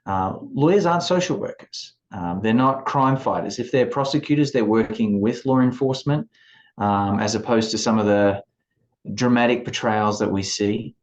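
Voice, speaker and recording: A man in his forties with an Australian accent, giving a measured, explanatory talk to students as an admissions advisor over a video call. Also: fairly low pitch. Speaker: law school admissions advisor. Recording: dull lo-fi video call audio.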